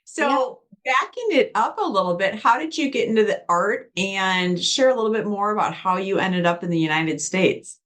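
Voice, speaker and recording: A woman's voice: mid-range voice, expressive, normal speed, fairly bright tone. A woman hosting a podcast interview, asking questions and responding with enthusiastic agreement. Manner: enthusiastic, affirming. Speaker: podcast host. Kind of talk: conversational interview.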